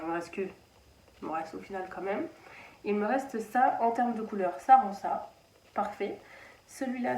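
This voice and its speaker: A woman's voice: mid-range voice, darkish tone, recorded from a distance. Chatty woman in an echoey playroom. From France (Anjou). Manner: chatty, rambling, many fillers and restarts. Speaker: knitting channel host. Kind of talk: casual knitting podcast chat. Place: children's playroom at home.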